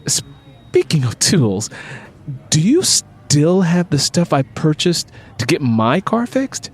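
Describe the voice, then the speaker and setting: A man voicing a proud, sharp-tongued city woman in an audiobook, assertive and sassy. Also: a little high, sing-song, slow, breathy edge, voice pushed up, heavily acted. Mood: direct, friendly.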